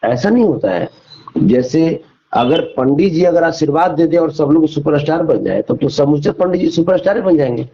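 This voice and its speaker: A man's voice: fairly high pitch, lively intonation, moderate pace. A man argues his opinions emphatically in a phone interview, repeating phrases and posing rhetorical questions. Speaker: interview guest. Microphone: phone call. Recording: dull lo-fi phone call recording.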